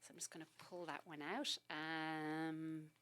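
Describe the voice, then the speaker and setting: A woman poet reading her poems aloud and explaining them, soft and halting between lines and more expressive in the verse. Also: deep voice, a little hiss or hum. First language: English. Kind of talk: poetry reading on a talk show.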